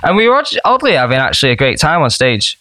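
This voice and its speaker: A young man, a band member chatting as a podcast guest, telling rambling, animated stories. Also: medium pitch, fast, no room sound. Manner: animated, rambling storyteller with laughs. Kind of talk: casual storytelling chat.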